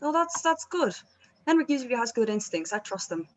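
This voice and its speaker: A woman as patient, higher-voiced band manager Greg. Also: very animated, fairly quick. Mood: placating.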